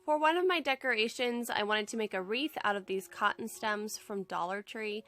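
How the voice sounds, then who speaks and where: A woman's voice: medium pitch, moderate pace, fairly bright tone, soft background music. A young woman with a head cold, chatting in a friendly, upbeat way as she narrates a casual home decor how-to vlog from home.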